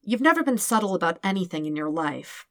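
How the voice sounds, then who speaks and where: A narrator voices a calm woman with a careful, measured delivery. She is patient and sympathetic, with gentle exasperation, in a dry, close audiobook recording. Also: lowish voice, no echo. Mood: exasperated, firm. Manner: measured, careful, matter-of-fact. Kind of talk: fan fiction audiobook reading.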